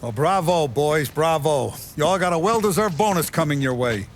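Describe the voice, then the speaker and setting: An actor playing a smug, commanding American mafia boss in a crime game, brusque and patronizing. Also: male voice, on the high side, lively intonation, normal speed, slight background noise, natural voice. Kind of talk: cinematic crime game acting.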